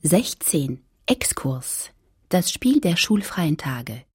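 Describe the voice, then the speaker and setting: A woman playing a detached announcer who reads out headings tersely and deliberately in a radio play. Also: deep voice, very animated, husky, full character voice.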